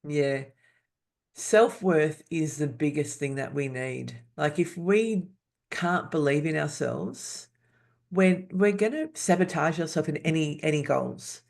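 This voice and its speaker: A woman in her fifties, a podcast guest, speaking candidly and haltingly, restarting words and laughing often. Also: low voice, creaky, a little mellow. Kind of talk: candid personal interview.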